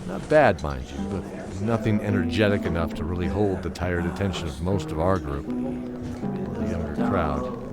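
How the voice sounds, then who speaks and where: Brooding, low-voiced old knight. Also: male voice, animated, loud music behind the voice. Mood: wry, reminiscing. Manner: measured, reflective, dramatic storytelling. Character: brooding old bearded knight telling his tale, ashamed. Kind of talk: first-person audio drama narration.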